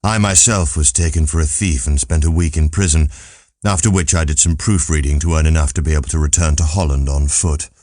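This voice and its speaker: Low-voiced man narrating an audiobook. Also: fairly even intonation, fairly quick, a little mellow, dry recording, studio quality. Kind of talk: scripted literary narration.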